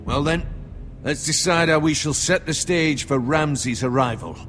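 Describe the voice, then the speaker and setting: A man's voice: on the high side, moderate pace, a bit bright, faint music behind, natural voice. A man playing a pragmatic, cautious counsellor loyal to his lord in a video game drama, firm and formal. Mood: measured, loyal.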